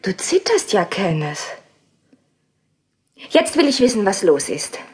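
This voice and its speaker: An actress playing a worried, sceptical woman companion in a horror audio drama, husky-voiced and urgently insistent. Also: medium pitch, sing-song, a little slow, darkish tone, exaggerated voice. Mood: concerned, insistent. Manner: urgent, pressing.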